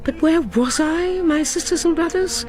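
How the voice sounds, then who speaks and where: An actress playing a brooding, enchanted mother who narrates a radio drama about her son in a theatrical, measured storytelling manner. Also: very high-pitched, over music. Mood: anguished.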